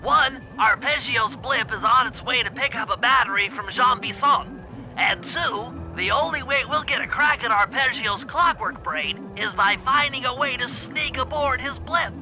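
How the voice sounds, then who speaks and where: A fussy young turtle brainbox, pitched extremely high. He talks in a precise, bookish way, briefing like a cartoon game gadget expert, with music clearly behind him. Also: moderate pace, bright, forceful tone, full character voice, low-quality recording. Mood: brisk, instructive.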